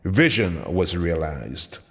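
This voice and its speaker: Low-voiced man narrating in long reverb. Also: big pitch swings, takes it slowly, fairly bright tone. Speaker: author reading own motivational audiobook. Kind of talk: scripted narration, motivational.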